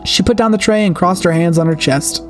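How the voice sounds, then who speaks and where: A woman hosting a gaming video, reading a horror game's story aloud in an expressive storytelling manner with her own asides. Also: on the low side, fairly quick. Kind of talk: dramatic read-aloud narration of a horror game with asides. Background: game soundtrack music.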